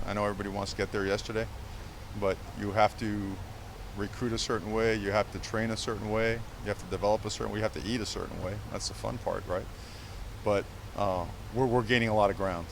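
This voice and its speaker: Emphatic coach at an outdoor presser. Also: male voice, fairly low pitch, steady tone, fast, vocal fry, slight background noise. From Cuba. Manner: emphatic, repeats words, many fillers. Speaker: head football coach. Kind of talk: press conference answers.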